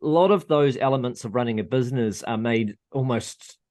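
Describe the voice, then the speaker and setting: A man's voice: medium pitch, brisk, a little mellow. A man with a mid-range voice explains in a methodical way. He lists his points one by one and checks in with the listener as he goes. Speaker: interview host. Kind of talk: explaining in an interview.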